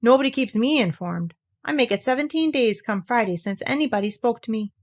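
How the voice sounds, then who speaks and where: Woman voicing a gloomy, aggrieved old donkey, swooping in pitch. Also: animated, fairly quick. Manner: ponderous, complaining, formal wording. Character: gloomy, self-pitying old male donkey, sarcastically aggrieved. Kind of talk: children's storybook read aloud.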